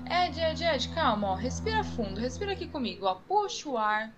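Woman acting bubbly soothing friend, high swingy voice, coaxing someone calm, audio drama. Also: dull audio, background noise. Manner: coaxing, instructing slowly.

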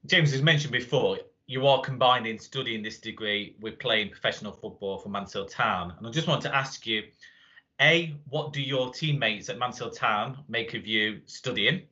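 A man's voice: mid-range voice, normal speed, bright, forceful tone, dull audio. A man co-hosting a podcast, asking his guest structured questions. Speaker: podcast co-host. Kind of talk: casual interview chat.

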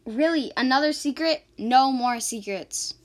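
A woman playing a feisty, exasperated young heroine in an amateur fairy-tale radio drama, sarcastic and excitable. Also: sits high, measured pace. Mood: incredulous, insistent.

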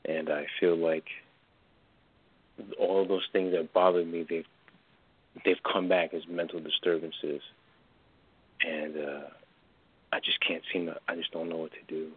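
Nervous first-time caller, male, early twenties, deep voice heard over a phone line. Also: level tone, slightly rough. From New York. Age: 20s. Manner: hesitant, halting, nervous. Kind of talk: call-in radio conversation.

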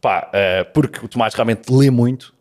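A man's voice: medium pitch, moderate pace, a little mellow. A man with a European Portuguese accent, co-hosting a casual football chat, rambling and self-deprecating. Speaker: podcast co-host.

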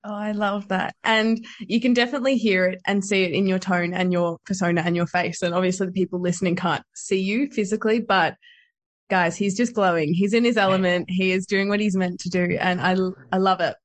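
A woman's voice: mid-range voice, steady tone, fairly quick, no echo. A woman hosting a podcast, chatting in an effusive, enthusiastic and casual way. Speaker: podcast host. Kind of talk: casual interview chat.

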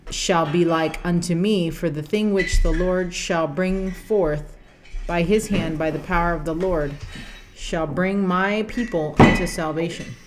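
A woman reading scripture aloud. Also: on the low side. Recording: clear background noise.

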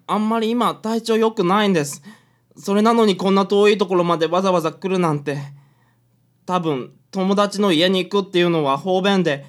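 A woman's voice: medium pitch, lightly acted. A woman playing a bumbling, timid young man in a radio drama, flustered and apologetic. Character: meek, bumbling young man, anxious mama's boy.

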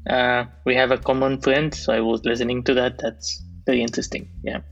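A man in his thirties from Egypt, a software developer speaking as a podcast guest in a measured, thoughtful way, often pausing to rephrase. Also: mid-range voice, little pitch movement, gravelly, muffled recording, soft background music. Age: 30s. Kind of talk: casual interview.

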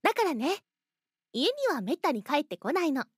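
An actress playing a gentle, mysterious schoolgirl protector in an audio drama, speaking softly and soothingly. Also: high-pitched, very animated, fairly quick, dry recording, clean studio sound. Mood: calm, reassuring. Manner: soft, composed, soothing.

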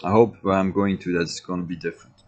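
Guy talking about his life, real low voice, lots of ums and restarts. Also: steady tone, fast, gravelly, dull audio. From Belgium (Flanders). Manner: hesitant, many fillers and restarts. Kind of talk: reflective personal monologue.

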